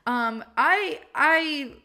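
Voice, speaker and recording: A woman's voice: high voice, animated, very slow, bright, forceful tone. A woman in her twenties hosting her own podcast, chatting casually and self-mockingly with frequent laughs and fillers. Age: 20s. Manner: chatty, self-mocking, laughs often, many likes and ums. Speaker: podcast host. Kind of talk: casual solo podcast chat.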